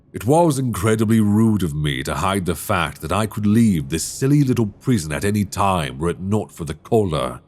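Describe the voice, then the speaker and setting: Male actor as a menacing fallen king, extremely low voice, slow delivery, regal, seductive, doting, close-miked ASMR roleplay. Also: a little mellow, heavily acted. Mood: grand, scheming. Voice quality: very deep, gravelly. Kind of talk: intimate ASMR roleplay acting. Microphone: close binaural microphone.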